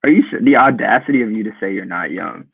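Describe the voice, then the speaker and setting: A man's voice: fairly low pitch, expressive, fast, vocal fry, slightly rough, no room sound. A man in his twenties, a guest musician, chatting casually and telling stories in a loose, rambling way with some swearing, heard over a tinny phone-like line. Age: 20s. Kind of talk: casual interview chat.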